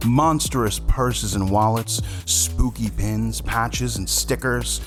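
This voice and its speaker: A man reading scripted promotional spots as a podcast host. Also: fairly low pitch.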